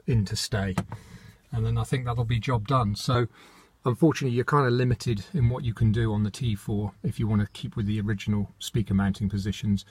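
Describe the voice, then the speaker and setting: A man's voice: lowish voice, a little mellow, breathy edge, no echo. A British man presenting an informal hands-on how-to in an unscripted, matter-of-fact, slightly hesitant manner.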